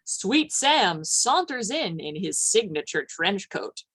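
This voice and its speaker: Woman narrating an old timey radio play from a script, mid pitch. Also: big pitch swings, takes it slowly, fairly bright tone, husky.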